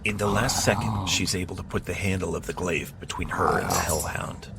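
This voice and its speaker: Deep-voiced male narrator. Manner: steady, measured storytelling. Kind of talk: scripted second-person narration. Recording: clear background noise.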